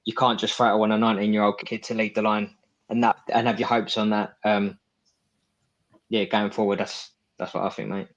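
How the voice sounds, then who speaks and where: Male co-host, monotone, laid-back and agreeable. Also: lowish voice, brisk, highs cut off. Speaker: podcast co-host. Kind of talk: casual football chat.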